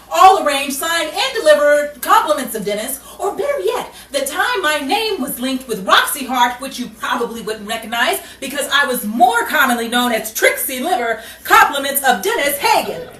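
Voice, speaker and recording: Woman acting a sarcastic, high-voiced 1940s wisecracker. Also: a bit bright. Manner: theatrical, dryly sarcastic. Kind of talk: 1940s radio comedy acting. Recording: roomy, distant mic, noisy live room.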